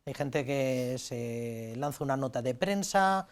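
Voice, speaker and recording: A man's voice: medium pitch, expressive, slow pace, clear, resonant tone. A man hosting a conversational interview, formally polite and attentive to his guest. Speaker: programme host and interviewer.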